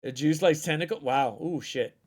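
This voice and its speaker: A man hosting a casual stream chat, excitable and loose, swearing freely and repeating himself. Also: fairly high pitch, normal speed. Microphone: desk microphone.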